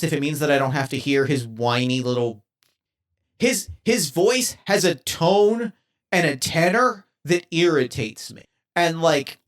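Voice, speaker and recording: A man's voice: mid-range voice, lightly acted. A man voicing an earnest male assassin robot in a tabletop game, speaking formally and carefully with a lot of hesitation. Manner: formal, careful, halting speech.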